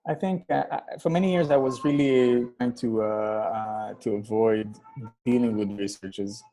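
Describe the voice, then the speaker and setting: A man with a mellow, warm voice at an everyday pitch tells stories in a relaxed interview. He talks haltingly, with plenty of fillers and restarts. He was born in Kenya. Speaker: guest acupuncturist. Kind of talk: casual storytelling interview.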